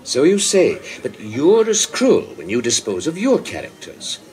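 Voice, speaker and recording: A man's voice: mid-range voice, wildly swooping pitch, unhurried, darkish tone, faint music behind. An actor playing a genial, talkative king of England in a radio play, with a theatrical, condescending manner. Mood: probing, insistent. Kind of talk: broadcast radio play acting.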